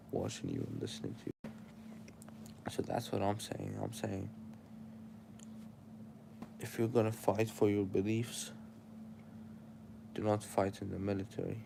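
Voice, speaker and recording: A man's voice: lowish voice, gravelly, noisy background. A young man with an Arabic accent, sleepy and tired, preaching casual life advice straight to his livestream chat.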